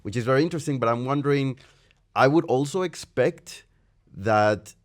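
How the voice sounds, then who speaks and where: A man's voice: mid-range voice, expressive, normal speed. A man in the audience of a lecture, asking a long rambling question and thinking aloud with many asides. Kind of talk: long spoken question at a talk. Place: lecture room.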